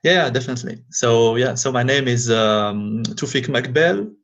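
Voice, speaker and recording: A man from Algeria, a guest in a casual interview over a remote call, speaking English haltingly and searching for words. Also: mid-range voice, fairly bright tone.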